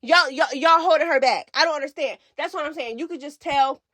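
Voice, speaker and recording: A woman's voice: high voice, fairly quick, sharp tone, dry recording, clean studio sound. A woman giving casual, opinionated commentary as a recap host, emphatic and slangy, often repeating herself for effect.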